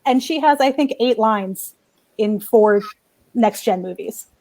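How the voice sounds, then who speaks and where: Casting: female panelist, slightly high voice, conversational, halting, emphatic. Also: warm tone. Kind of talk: casual fan discussion. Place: remote online panel recorded at home.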